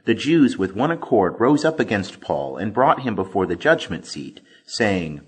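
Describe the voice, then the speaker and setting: A man reading scripture as scripted audiobook narration, with expressive, deliberate emphasis. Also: on the low side, husky. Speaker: scripture reader.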